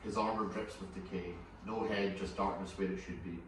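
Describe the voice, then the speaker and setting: Male narrator for a table read: very low voice, scripted stage directions, heavy echo and background noise. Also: steady tone, fairly quick, a bit bright. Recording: very echoey room, far mic, clear noise.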